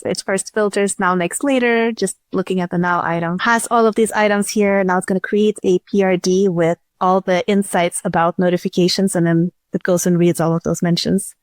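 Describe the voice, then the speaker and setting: A woman's voice: mid-range voice, no room sound. Woman explaining step by step, dry sound. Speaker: podcast guest, startup chief executive. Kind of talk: casual product demo explanation.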